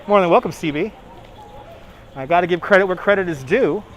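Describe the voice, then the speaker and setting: A talkative man with a New York accent, slightly high-voiced, chats with his live viewers as he walks the city. He answers them by name and laughs easily. Faint street noise hums behind him. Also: fairly quick, poor audio. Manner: chatty, reads chat names aloud, laughs often. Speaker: livestream host. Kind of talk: casual live-stream chat while walking. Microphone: phone on gimbal.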